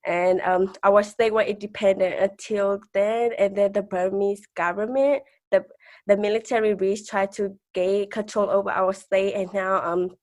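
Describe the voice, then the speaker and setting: A woman's voice: mid-range voice, steady tone, moderate pace. Young woman guest speaking with a Karenni accent. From Thailand, refugee camp. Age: 20s. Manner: hesitant, with restarts and fillers; laughs softly between points. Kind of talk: conversational interview.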